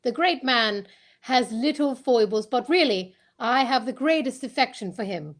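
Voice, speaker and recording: A woman playing a gracious, formal English lady author, reading a measured address in an amateur old-time radio show. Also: on the high side, lively intonation. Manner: formal, measured, read aloud.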